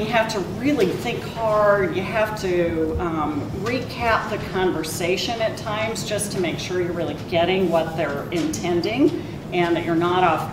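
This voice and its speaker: A woman executive speaking as a panelist, frank and conversational. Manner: frank, conversational, laughs at herself. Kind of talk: panel discussion remarks. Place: large university event hall. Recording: echoey hall, distant mic, background rumble.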